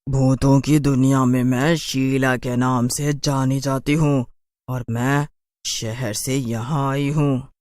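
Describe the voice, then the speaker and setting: A woman's voice: rumbling low, fairly even intonation, takes it slowly, darkish tone, no echo, studio quality. A woman playing a haughty, mocking city witch in a horror cartoon, with a taunting drawl.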